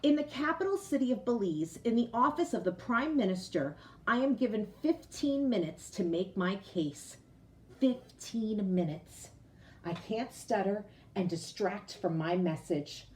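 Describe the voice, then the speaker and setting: A woman reading a picture book aloud to children like a teacher, expressive, pausing to explain and put questions directly to young listeners. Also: medium pitch. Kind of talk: storybook read-aloud with explanatory asides.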